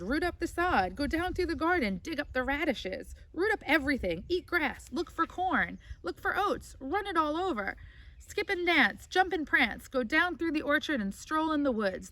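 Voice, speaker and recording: A woman voicing a bossy female farm goose who talks fast and repeats her words. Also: sits high, very animated, bright, forceful tone, voice pushed up, full character voice, low-quality recording. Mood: excited. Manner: repeats words in quick bursts.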